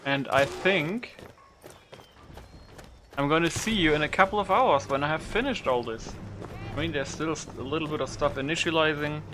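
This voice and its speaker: A man's voice: mid-range voice, noisy background. A man giving casual, unscripted gameplay commentary, thinking aloud in an informal way with some mild swearing. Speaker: gaming video creator.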